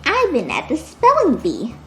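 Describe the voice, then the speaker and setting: A woman's voice: high-pitched, huge pitch swings, measured pace, sharp tone, soft background music, voice pushed up, exaggerated voice. A woman voicing a female squirrel who protests her innocence in an emphatic sing-song way for a children's story. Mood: amused denial.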